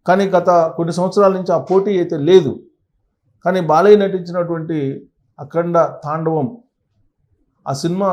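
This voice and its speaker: A man's voice: a little high, a little mellow. A man presents conversational news commentary and analysis, stressing points emphatically and repeating phrases. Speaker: presenter of a film news channel.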